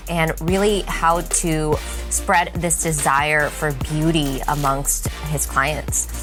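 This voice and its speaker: Low-voiced woman hosting a podcast. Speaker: podcast host, doctor. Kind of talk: podcast introduction and interview. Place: virtual recording for a podcast.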